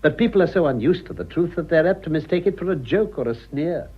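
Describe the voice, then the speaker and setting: An actor playing an older, worldly English gentleman and scheming father in a British radio drama, dryly amused and urbane. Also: a little high, lively intonation, fast, slightly rough, lo-fi sound. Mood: wry, worldly. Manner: dry, drawling, amused.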